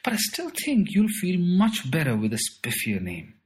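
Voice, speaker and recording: A man's voice: high voice, huge pitch swings, moderate pace, voice pushed up. A man voicing a boastful little alien trickster who is playful, bossy and fond of made-up words, in a storybook. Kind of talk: storybook character voice.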